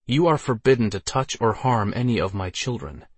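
A man's voice: medium pitch, animated, moderate pace, slightly rough, dry recording. A man voicing a proud, commanding female archmage and mother in an audiobook, with a cold, imperious manner. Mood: stern. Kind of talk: audiobook character voice.

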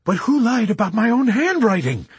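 A man voicing a bewildered, timid army chaplain who stammers and pleads, rising to indignant shouts. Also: high-pitched, sing-song, measured pace, husky, no room sound, voice pushed up, heavily acted.

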